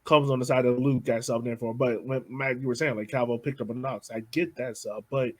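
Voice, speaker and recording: Husky-voiced man in casual soccer chat. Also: medium pitch, fairly even intonation, brisk, a little mellow. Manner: casual, uses "like" a lot. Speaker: podcast co-host.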